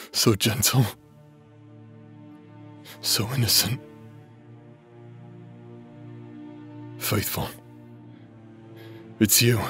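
A man acting a tormented, possessed warrior in a dramatic fantasy audio roleplay, halting and stammering through broken phrases. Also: mid-range voice, fairly bright tone. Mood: pleading, yearning. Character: tormented warrior possessed by voices in his head.

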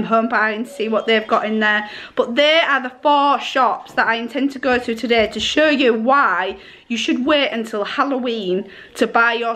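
A woman, chatting casually to a handheld camera with a croaky voice from a cold, rambling with lots of ums. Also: fairly high pitch, a bit bright. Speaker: family vlogger. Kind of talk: casual vlog chat to camera. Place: home kitchen, then shops. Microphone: handheld camera at arm's length.

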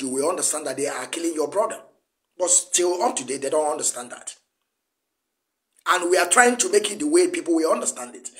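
A man's voice: fairly high pitch, a bit bright. A Nigerian man in his forties whose first language is Igbo, giving an emphatic, lecturing political address to camera from a small room. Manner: emphatic, lecturing, gesturing a lot. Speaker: activist commentator.

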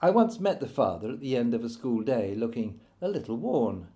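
A man narrating his own written memoir as an audiobook, in a measured storytelling manner. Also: medium pitch, very animated, warm tone.

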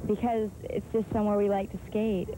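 A woman's voice: medium pitch, little pitch movement, brisk, mellow, slight background noise, rough recording. A young woman giving casual interview answers outdoors. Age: young adult. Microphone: handheld interview mic.

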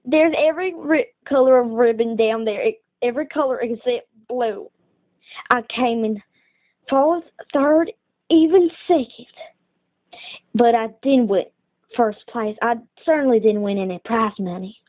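A woman's voice: fairly high pitch, unhurried, lightly acted. A woman voicing a proud, plain-spoken young cowgirl pony in a cartoon fandub, with a folksy, earnest manner. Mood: ashamed. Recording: tinny screen playback filmed by camera.

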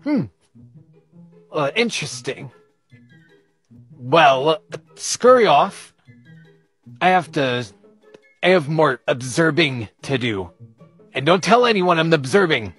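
A man playing an awkward, nerdy, defensive bug watcher who talks in a halting mutter. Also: fairly high pitch, sing-song, fairly bright tone, husky, soft background music, exaggerated voice.

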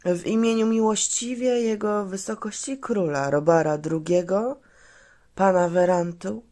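A performer plays a stern, haughty royal judge in a fantasy radio play. The proclamation is slow and formal. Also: medium pitch, warm tone, natural voice. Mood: stern, official. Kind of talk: formal proclamation in an audio drama.

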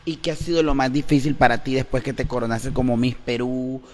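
A man in his thirties interviewing a guest in a chatty, playful, excitable way, holding a handheld mic in a living room. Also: medium pitch, brisk. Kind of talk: casual interview. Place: indoor living room on a couch. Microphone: handheld wired mic.

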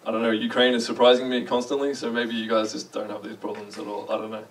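A man's voice: fairly low pitch, level tone, quick pace, distant mic. A young man with an Australian accent, giving a chatty, self-deprecating conference talk and asking the audience questions. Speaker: conference speaker. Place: large dark lecture hall.

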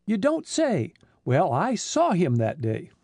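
A man's voice: sits high, wildly swooping pitch, slow pace, gravelly, a little mellow, no echo, clean studio sound, voice pushed up, exaggerated voice. A man doing a rambling, plodding truck driver. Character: slow-witted middle-aged male truck driver, long-winded.

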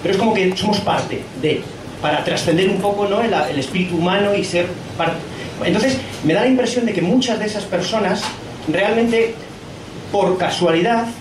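A man with a high voice shares an informal thought from the audience. He is hesitant and rephrases as he goes. He sounds distant in a noisy room. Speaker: audience member. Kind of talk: informal spoken reflection from the audience. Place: pub event room, indoors. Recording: echoey room from afar, clear background noise.

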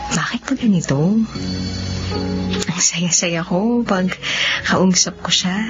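A smitten young woman thinks aloud dreamily. Her voice is low and unhurried, with clear music behind in a radio drama. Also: lo-fi sound. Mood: dreamy, smitten. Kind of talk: Filipino radio drama monologue.

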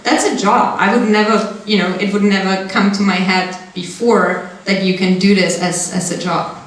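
A woman's voice: mid-range voice, steady tone, normal speed. A young woman, a guest speaker from Austria, answering audience questions after a talk in a candid way, thinking aloud with restarts, on a handheld mic in a large seminar room. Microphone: handheld mic, far camera. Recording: echoey room, distant mic.

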